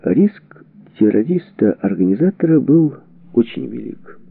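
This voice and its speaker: A man narrating a historical book from a script, with measured, deliberate phrasing and pauses. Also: medium pitch, big pitch swings, creaky, breathy edge, dry recording. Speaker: audiobook narrator. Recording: band-limited, tinny phone-like sound.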